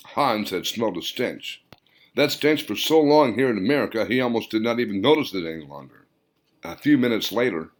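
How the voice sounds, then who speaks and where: Male audiobook narrator, fiction. Fairly deep voice, very lively pitch movement, theatrical reading. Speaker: author reading own audiobook.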